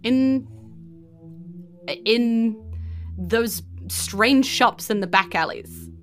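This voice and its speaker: A woman's voice: fairly high pitch, faint music behind, voice pushed up. Woman playing a bitter, defensive male designer. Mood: vague, defensive. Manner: evasive, halting, defensive.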